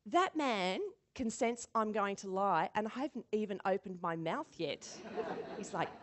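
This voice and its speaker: A woman giving a motivational keynote speech as an animated storyteller who acts out quotes and laughs often. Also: mid-range voice, measured pace, noisy background. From born in Singapore. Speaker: keynote speaker.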